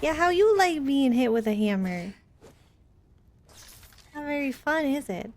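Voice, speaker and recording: A woman's voice: high-pitched, lively intonation, unhurried, a little mellow. A woman doing casual live game commentary, chatting with viewers in a playful, mock-complaining manner with short exclamations. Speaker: game streamer. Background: game music.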